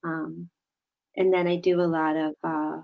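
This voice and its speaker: A woman's voice: low-pitched, level tone, gravelly, darkish tone, rough recording. Earnest woman author answering questions. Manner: earnest, halting, many fillers and restarts. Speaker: author guest. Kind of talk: casual interview answers.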